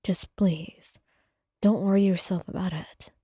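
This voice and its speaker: Awkward steady male partner voiced by a woman; hesitant, breathy whisper. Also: on the low side, a little slow, no room sound, studio quality, voice pushed down. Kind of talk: whispered ASMR roleplay.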